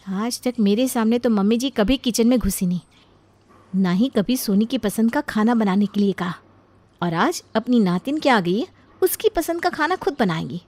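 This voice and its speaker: A high-voiced, bitter young housewife played by a woman from an animated family tale. She is sharp-tongued and sarcastic, scolding like a mother at the end of her patience. The sound is dry. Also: moderate pace, dry recording. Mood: resentful. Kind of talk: Hindi animated moral story acting.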